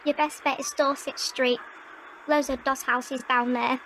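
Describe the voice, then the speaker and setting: An actress playing a bitter Victorian street beggar, blunt and scornful. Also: female voice, high-pitched, level tone, unhurried, a bit bright, a little hiss or hum, poor audio.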